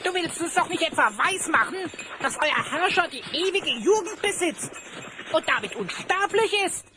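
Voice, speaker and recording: A woman's voice: extremely high pitch, lively intonation, moderate pace, pushed and bright, a little hiss or hum, full character voice. A woman playing a small, cheeky, sceptical creature in a German audio drama, pert and questioning. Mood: sceptical, probing.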